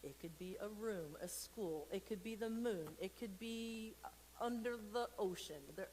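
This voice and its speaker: A woman hosting a casual read-aloud for kids, playful and expressive, talking straight to viewers with informal, encouraging asides. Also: medium pitch, a little slow, long reverb tail, slight background noise, low-quality recording. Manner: playful, expressive, with silly sound effects and asides. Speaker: storyteller and church program host.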